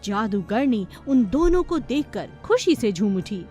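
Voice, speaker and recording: A woman's voice: on the high side, very animated, measured pace. A woman narrating a scripted children's fairy tale as a storyteller. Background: music.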